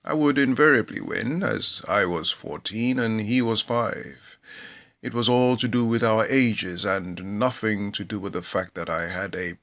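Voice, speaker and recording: Male narrator from Nigeria, middle-aged, for a wry memoir; low-pitched, unhurried, theatrical delivery. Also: fairly bright tone. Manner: measured, theatrical storytelling delivery. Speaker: actor reading a book aloud. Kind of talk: scripted narration of a humorous memoir.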